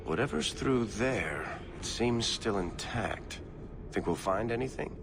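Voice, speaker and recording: Casting: roguish dwarf adventurer, lowish lively voice, wry and wary, fantasy game, noisy ambience behind. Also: male voice, measured pace. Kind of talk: video game dialogue.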